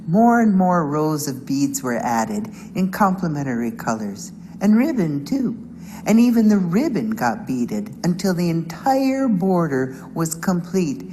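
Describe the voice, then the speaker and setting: A middle-aged woman, a beadwork artist, giving a measured, prepared narration about her work. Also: low-pitched, mellow, long reverb tail, a little hiss or hum, rough recording. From Wisconsin, USA.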